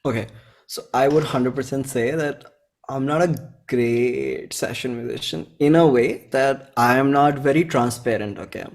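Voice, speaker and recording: A man in his twenties speaks with an Indian accent at a medium pitch. He talks like a musician in a relaxed interview, informal and rambling. He often repeats himself and slips into bits of quoted dialogue. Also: moderate pace, gravelly. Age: 20s. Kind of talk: casual conversational interview.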